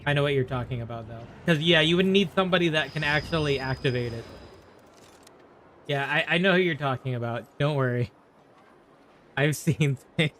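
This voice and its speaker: Higher-pitched man chatting casually while streaming. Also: normal speed, long pauses, fairly bright tone, slight background noise. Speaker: game streamer. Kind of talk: casual live stream chat while gaming. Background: faint game music.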